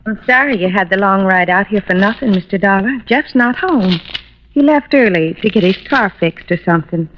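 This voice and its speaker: A woman's voice: medium pitch, normal speed, a little hiss or hum, close to an everyday voice. A woman playing a sweet, fragile young wife, worried and grieving, confiding earnestly and hesitantly in an old-time radio drama. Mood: apologetic. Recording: muffled vintage radio recording.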